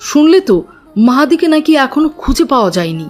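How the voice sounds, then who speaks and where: Woman voicing an earnest, high-pitched little boy. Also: big pitch swings, fast talker, a little mellow. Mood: worried, sad. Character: worried, devout young boy. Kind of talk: Bengali Islamic cartoon dubbing.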